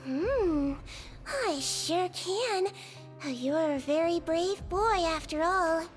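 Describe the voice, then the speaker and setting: Gentle non-human game nurse, very high and sweet, teasingly kind. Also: female voice, takes it slowly, soft background music. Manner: soft, motherly, gently teasing. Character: small gentle female melusine nurse, kindly and teasing. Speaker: prison nurse.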